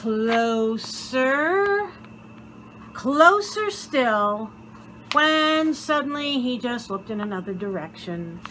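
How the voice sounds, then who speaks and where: A woman reading a children's story aloud in an expressive, theatrical way, with deliberate dramatic pauses. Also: on the high side, a little hiss or hum, rough recording. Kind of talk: expressive scripted story narration.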